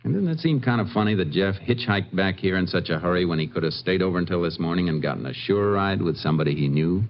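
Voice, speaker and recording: An actor with an American accent playing a steady, shrewd Texas Ranger in a terse, matter-of-fact radio drama style. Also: male voice, low-pitched, quick pace. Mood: probing. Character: steady, shrewd Texas Ranger investigator narrating his case. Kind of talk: 1950s radio drama acting. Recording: muffled vintage radio with music.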